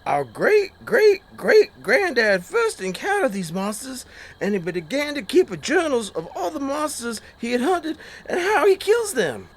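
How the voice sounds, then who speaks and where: A man's voice: very high-pitched, sing-song, measured pace, heavily acted. A man playing a mild-mannered backwoods younger brother with a Southern US accent, talking in earnest, simple country phrases in a comedy horror audio drama.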